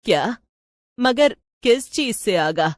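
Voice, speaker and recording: A woman's voice: on the high side, expressive, slow, dry recording, pitched above the performer's own voice. A woman voicing a cautious, superstitious older village woman in a storybook tale, anxious and colloquial. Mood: puzzled.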